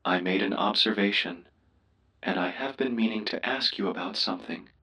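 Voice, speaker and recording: A man voicing a polite, cautious starship AI in a sci-fi game, speaking in a formal, deferential, measured way. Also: lowish voice, bright, forceful tone, slightly rough, distant mic, low-quality recording. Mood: curious. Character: polite, cautious male ship computer AI, formal and curious. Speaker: ship's computer voice assistant. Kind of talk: scripted sci-fi game voice pack.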